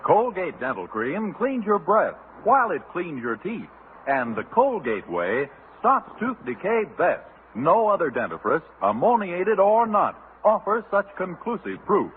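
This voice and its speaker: A man's voice: high voice, huge pitch swings, unhurried. A man announcing a 1950s radio advertisement in an emphatic, polished sales delivery. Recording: scratchy, narrow-band old radio recording.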